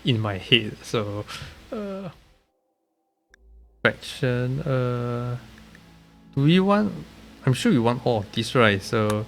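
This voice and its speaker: A man with a nasal, congested voice from a recent illness, giving halting, casual commentary on a game live stream. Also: mid-range voice, big pitch swings, fairly bright tone, soft background music. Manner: halting, hesitant, often trailing off. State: congested, recovering from being sick.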